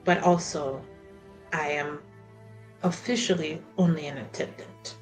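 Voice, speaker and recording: A woman voicing a guarded, anxious house attendant with a put-on French accent in a tabletop roleplay, confiding haltingly. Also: low voice, steady tone, faint music behind, voice pushed down. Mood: earnest, uneasy. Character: guarded noblewoman's attendant, secretly a bastard, earnest and anxious.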